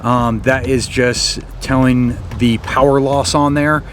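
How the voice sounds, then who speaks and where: A man's voice: medium pitch, fairly even intonation, slow, a little hiss or hum. A man hosting a casual, unscripted how-to vlog, explaining somewhat hesitantly as he thinks aloud. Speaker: vlog host.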